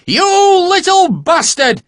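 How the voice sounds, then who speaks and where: A man voicing a furious working-class mother who screams and scolds in a shrill, shouting voice. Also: very animated, slow, no echo, studio quality, exaggerated voice. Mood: screaming rage.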